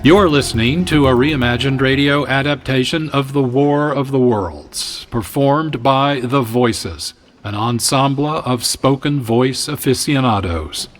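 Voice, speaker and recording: A man working as an announcer, giving formal, measured scripted introductions for a radio drama. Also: medium pitch, soft background music.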